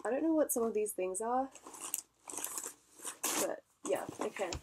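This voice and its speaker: A woman's voice: on the high side, lively intonation, unhurried, background noise. A young woman vlogger, congested from a cold, chatting bubbly and casually while unboxing packages. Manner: chatty, bubbly, lots of fillers and likes.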